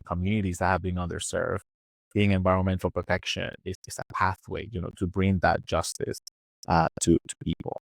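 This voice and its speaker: Very deep-voiced man with Puerto Rican accent. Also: no echo. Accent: Puerto Rican Spanish. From born in Venezuela, raised in Puerto Rico. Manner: halting, many restarts and fillers. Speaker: guest, environmental engineer. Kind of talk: casual interview conversation.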